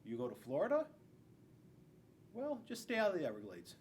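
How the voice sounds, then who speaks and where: Animated, high-pitched man reading to kids. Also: normal speed. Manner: playful, addressing young children. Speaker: school resource officer reading to children. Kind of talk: storybook read-aloud with chatty asides. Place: school classroom. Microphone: camera a few feet away. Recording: distant mic, faint room noise.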